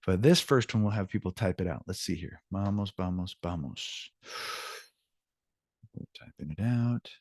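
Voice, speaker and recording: A man's voice: low-pitched, normal speed, a little mellow, no room sound, studio quality. A man teaching an online language lesson, informal and encouraging as he explains examples and switches between two languages. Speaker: Spanish teacher. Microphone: video call.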